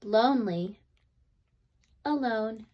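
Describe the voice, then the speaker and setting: A woman with a fairly high voice reads a picture book to children. Her delivery is very slow and deliberate, with dramatic pauses between phrases and a lively, swooping melody. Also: lo-fi sound. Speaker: read-aloud storyteller. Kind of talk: expressive children's picture book read-aloud.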